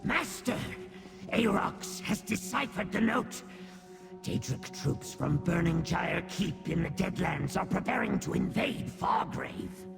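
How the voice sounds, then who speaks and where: A man voicing a small demonic rat servant in a video game, gravelly, grovelling and grandiose in a theatrical way. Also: high-pitched, expressive, slow, over loud music, full character voice. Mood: eager, gleeful. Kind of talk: video game character acting.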